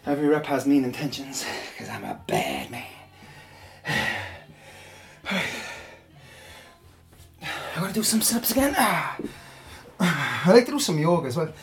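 A man's voice: a little high, huge pitch swings, unhurried, long pauses. Breathless, laughing man mid-workout. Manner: rambling, chatty, laughs often between sets. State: out of breath and sweaty. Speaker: online audio creator. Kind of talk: casual chat while working out.

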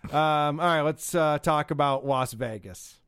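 High-voiced sarcastic male podcast host. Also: measured pace, a bit bright, clear, resonant tone, no echo, studio quality. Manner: sarcastic, mocking. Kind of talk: casual podcast commentary.

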